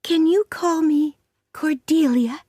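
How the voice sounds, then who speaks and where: A woman's voice: high-pitched, slow, dark tone, no echo, studio quality, pitched above the performer's own voice. A woman voicing a chatty, dreamy orphan girl of about eleven who talks in gushing, dramatic bursts. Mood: wistful.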